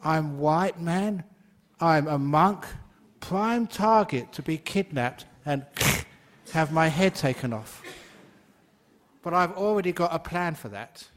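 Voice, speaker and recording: A man's voice: a little high, animated, slow pace. Older man, monk leading Q&A, raised voice, laughs a lot, chatty. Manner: jokey, laughs often, chatty with fillers. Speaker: Buddhist monk and teacher. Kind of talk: Dharma talk Q&A.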